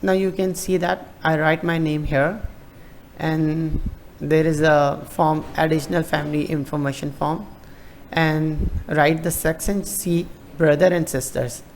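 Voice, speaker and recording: A man giving a screen-recorded walkthrough of a form, hesitant and halting with many fillers and restarts. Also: a little high, level tone, a little hiss or hum. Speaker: tutorial presenter.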